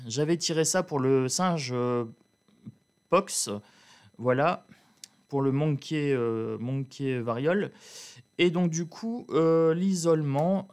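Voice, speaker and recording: A man talks his viewers through a card reading. His voice sits a little high, and he drawls and restarts, filling pauses with hesitations. He is blunt and outspoken in a casual, chatty way. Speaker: card reader. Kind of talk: casual tarot reading to camera.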